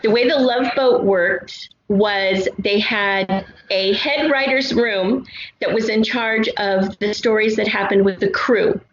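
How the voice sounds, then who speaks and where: A woman's voice: mid-range voice, takes it slowly. A middle-aged American woman chatting as an interview guest, explaining things in an expansive, storytelling way. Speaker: guest, audio drama writer. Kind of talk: casual interview chat. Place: untreated city apartment room. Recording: roomy, dull sound with faint noise.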